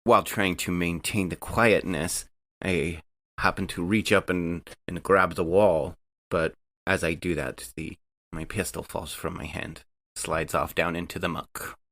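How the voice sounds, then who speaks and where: A man's voice: low-pitched, fairly even intonation, measured pace, fairly bright tone, no room sound. A man playing a tabletop role-playing game, casually asking about rolls and describing his character's moves.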